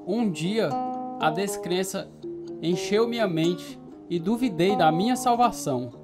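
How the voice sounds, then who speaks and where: A man's voice: high voice, lively intonation, a little slow, music in the background. An actor playing an earnest male new convert, telling his story in a Brazilian radio drama. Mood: reflective narration. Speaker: narrator and lead actor. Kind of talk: scripted radio drama narration and dialogue.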